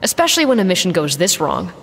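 A woman's voice: lowish voice, sing-song, fast talker, gravelly, slightly rough, in a large hall, heavily acted. A woman playing a guarded, blunt, loyal mercenary pilot in an audio drama, with a dry manner. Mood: exasperated.

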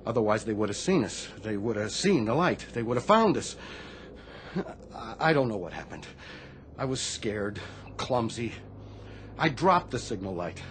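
An actor playing a guilt-ridden, anguished middle-aged Navy sailor in a radio drama, confessing haltingly to his superior. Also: lowish voice, sing-song, a bit bright, a little hiss or hum. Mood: haunted, subdued. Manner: halting, confessional, respectful of rank.

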